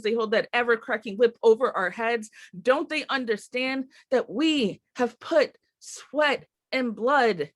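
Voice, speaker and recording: Woman speaking at a roundtable. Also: fairly high pitch. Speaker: roundtable panelist reading own poem. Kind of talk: poem recitation and panel remarks.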